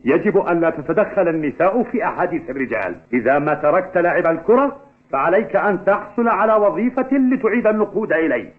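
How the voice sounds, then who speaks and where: An actor playing a gruff, stern middle-aged father who runs a restaurant, blunt and scolding, in a dubbed cartoon. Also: high voice, lively intonation, quick pace. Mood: stern, firm. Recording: tinny band-limited audio with music behind.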